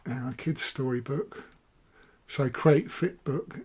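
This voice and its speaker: A hoarse man, casually talking through a software walkthrough and thinking aloud as he clicks. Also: mid-range voice, slow pace. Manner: rambling, self-correcting, thinking aloud. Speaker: software reviewer. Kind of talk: casual screen-recorded walkthrough.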